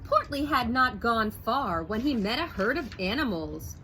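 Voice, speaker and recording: A woman teacher slowly reading a picture book aloud to first graders, clear and expressive. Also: fairly high pitch, a little hiss or hum. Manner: slow, clear, expressive storytelling. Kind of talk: read-aloud for young children.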